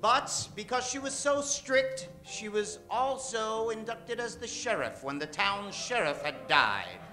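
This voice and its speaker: Male radio announcer for a western, high voice, emphatic old-time delivery with stretched-out names, prominent music underneath. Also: lively intonation, a little slow, bright, forceful tone, low-quality recording. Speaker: announcer introducing a radio play cast. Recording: music behind the voice.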